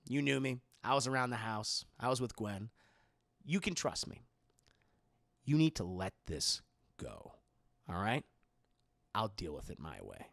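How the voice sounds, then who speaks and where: A man's voice: sits high, expressive, measured pace, vocal fry, bright, forceful tone, heavily acted. A man voicing a smug, falsely friendly male town mayor with a condescending, insistent manner. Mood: firm, hushed.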